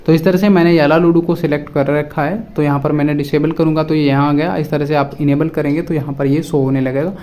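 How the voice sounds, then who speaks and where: A man's voice: a little high, little pitch movement, fast talker, few pauses, warm tone, a little hiss or hum. A man presenting a casual step-by-step phone app tutorial, addressing viewers directly and often repeating his instructions.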